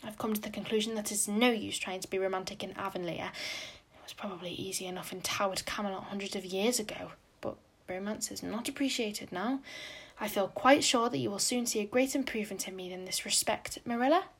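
A woman's voice: medium pitch, fairly quick, husky. A spirited, imaginative teenage girl talks in earnest, dramatic sentences full of sighs, voiced by a woman reading aloud. Her speech is wordy and heartfelt.

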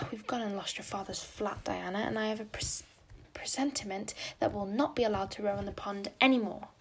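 A woman's voice: medium pitch, fairly even intonation, moderate pace, breathy edge, low-quality recording. A woman voicing a spirited, imaginative teenage girl who speaks earnestly and dramatically.

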